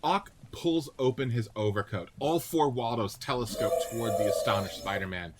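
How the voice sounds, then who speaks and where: Male narrator for radio play, mid-pitched, reads scene directions; noisy podcast recording. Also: normal speed. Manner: descriptive, present-tense scene setting. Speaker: narrator reading stage directions.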